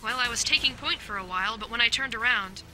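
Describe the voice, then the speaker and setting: High, bright, feisty young female soldier. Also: fast, faint music behind, rough recording. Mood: defiant. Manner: sassy, combative. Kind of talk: audio drama acting.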